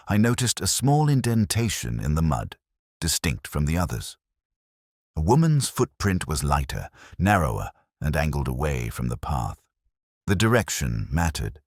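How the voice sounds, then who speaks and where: An extremely low-voiced man narrates a detective tale in the first person as a melancholy investigator. His delivery is measured and literary, with lively rises and falls in pitch. The recording is dry, with no room sound around the voice. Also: slightly rough, no echo. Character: melancholy, reflective male police detective telling his own case.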